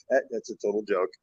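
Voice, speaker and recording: A man from the Cherokee Nation of Oklahoma, a guest in a casual virtual chat, talking candidly and joking, with halting fillers, over a video call. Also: lowish voice, bright, forceful tone, slightly rough, no echo, highs cut off.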